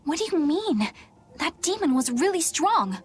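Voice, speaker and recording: An earnest, questioning young woman from a video game. Her voice is high, set over faint background music. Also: sing-song, normal speed. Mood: incredulous. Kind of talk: dubbed video game dialogue.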